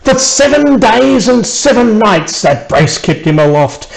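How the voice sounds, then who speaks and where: A man with an Australian accent narrating a rhymed children's story in an expressive storyteller's way. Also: high voice, a little slow, gravelly, rough recording. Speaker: author reading own children's book.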